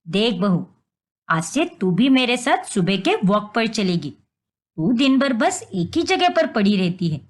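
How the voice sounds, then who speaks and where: A woman voicing a stern, suspicious older mother-in-law in a story, bossy, sharp-tongued and scolding. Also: a little high, voice pushed down.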